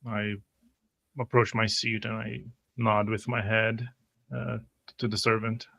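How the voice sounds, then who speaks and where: A man's voice: lowish voice, flat delivery, unhurried, vocal fry, a bit bright, no echo. A man describing his character's actions as a player in a tabletop roleplay.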